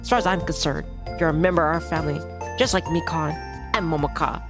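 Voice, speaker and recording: Woman doing a kindly dad, lowered voice. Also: fast, over music. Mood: reassuring. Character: kindly, modest middle-aged father welcoming a guest. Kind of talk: visual novel character read-aloud.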